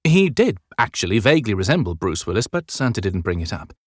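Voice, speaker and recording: A man's voice: on the low side, big pitch swings, fast, dry recording, clean studio sound. A man reading dramatic scripted narration as an audiobook narrator. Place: dry recording studio.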